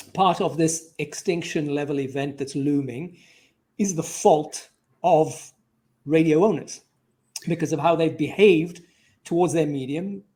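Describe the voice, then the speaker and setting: Male interviewer, slightly high pitch, conversational and probing, restates points back. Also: a little mellow.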